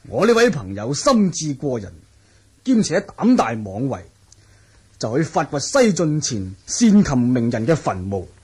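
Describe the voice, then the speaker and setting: An actor playing a shrewd, jovial martial-world wanderer with a Hong Kong Cantonese accent in an old radio drama, speaking with wily, persuasive courtesy. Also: male voice, medium pitch, very animated, unhurried, a little mellow, husky. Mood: storytelling, sly.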